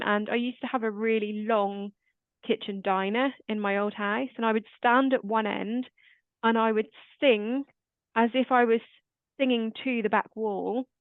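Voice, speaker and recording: Calm, quiet-voiced woman. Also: on the high side, no room sound. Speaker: host. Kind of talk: casual chat. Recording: dry, tinny phone-like line.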